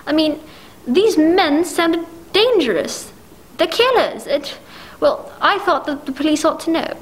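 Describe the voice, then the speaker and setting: A woman's voice: sits high, animated, a little slow, a little hiss or hum. A woman acting an anxious, demanding, self-pitying bedridden wife in a 1940s-style radio play, stammering and indignant. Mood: nervous, defensive.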